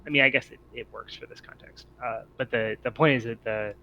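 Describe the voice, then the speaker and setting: A man acting as game master, casually narrating and explaining rules with a hesitant, halting delivery. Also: medium pitch, steady tone, pushed and bright, highs cut off, slight background noise.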